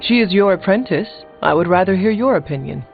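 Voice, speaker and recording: An actor playing an earnest, guarded superhero in a video game, speaking in a formal, blunt manner. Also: male voice, sits high, lively intonation, fairly quick, creaky, phone-quality sound. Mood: stern, earnest. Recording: band-limited game audio, faint music.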